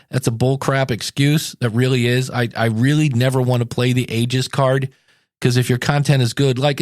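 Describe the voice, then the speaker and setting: A man's voice: mid-range voice, no echo, studio quality. A man in his fifties hosting a podcast, talking casually and candidly in an anecdotal monologue. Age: 50s. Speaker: podcast host.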